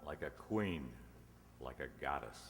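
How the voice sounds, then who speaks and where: An earnest, smitten reporter played by a man in a radio play. His voice is extremely low and subdued, carried in a long hall reverb. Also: expressive, measured pace, vocal fry, full character voice. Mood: adoring.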